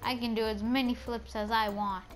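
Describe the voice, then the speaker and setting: A woman's voice: fairly high pitch, flat delivery, moderate pace, faint music behind. A woman chatting casually and playfully over gameplay as a channel host, rambling informally.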